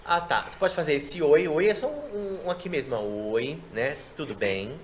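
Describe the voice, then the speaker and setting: A man who works as a sign language interpreter, chatting in an interview and explaining his work in a lively way. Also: a little high, slight background noise. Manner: quick, explanatory, animated delivery. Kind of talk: casual interview chat.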